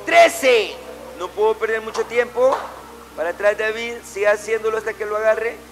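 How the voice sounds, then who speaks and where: Man, martial arts coach, high pitch, commanding repeated drill calls, recorded across the gym, faint music. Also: normal speed. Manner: commanding, barking short repeated orders. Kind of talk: calling out drills and corrections in a class. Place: indoor martial arts gym with matted floor.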